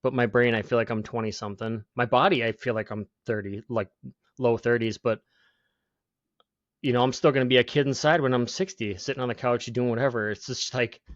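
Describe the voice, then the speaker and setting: A man in his forties in a casual podcast chat who talks haltingly and fills gaps with "like". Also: medium pitch, fairly even intonation, no room sound. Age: 40s. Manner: halting, full of "like" fillers. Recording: dull lo-fi dry sound.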